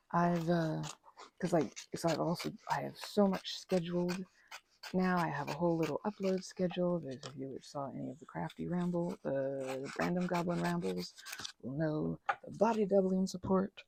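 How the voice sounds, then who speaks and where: A woman's voice: lowish voice, creaky, mellow, a little hiss or hum. A woman chatting in a rambling, casual way while she prepares craft materials, full of fillers and restarts. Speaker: ASMR and audio creator.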